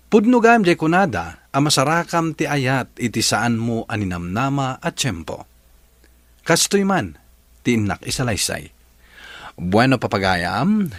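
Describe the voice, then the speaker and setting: A man's voice: mid-range voice, dry recording. A man with a Filipino accent hosting a radio drama with dramatic scripted narration.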